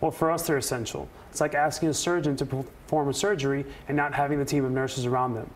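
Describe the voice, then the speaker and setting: A man speaking as a union representative in a news interview, earnest and emphatic, with deliberate phrasing. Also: mid-range voice, fairly even intonation, slight background noise. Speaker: air traffic controller and union representative, interview guest. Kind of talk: live news interview answers.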